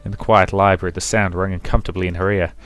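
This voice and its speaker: A man's voice: low-pitched, little pitch movement, quick pace, a bit bright. A man reading a visual novel's story narration aloud as a let's play narrator. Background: music.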